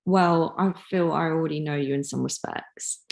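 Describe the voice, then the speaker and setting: A woman playing a friendly, admiring younger sister of a gentleman in a period drama reading, eager and gracious. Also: sits low, moderate pace, gravelly. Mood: eager warmth.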